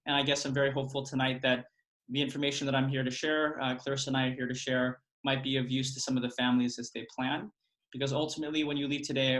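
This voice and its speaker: A man's voice: medium pitch, fairly bright tone, low-quality recording. A man working as a college admissions consultant, introducing himself on a video call in an earnest, hesitant way with many fillers.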